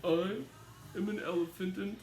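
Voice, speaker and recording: A man's voice: sits high, fairly even intonation, a little mellow, echoey room, faint music behind, pitched above the performer's own voice. A man voicing a sad, earnest, self-doubting male elephant in a children's picture book read-aloud. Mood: sad, apologetic. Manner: plaintive, deliberate short phrases.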